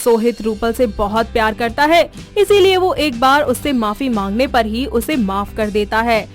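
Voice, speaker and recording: High-pitched woman narrating a story. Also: animated, normal speed, faint music behind. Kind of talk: scripted narration of animated moral story.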